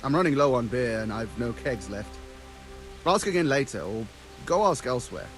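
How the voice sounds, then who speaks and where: A man's voice: medium pitch, expressive, moderate pace, soft background music, natural voice, low-quality recording. A man acting an offhand medieval village beer seller in a video game, breezy and dismissive.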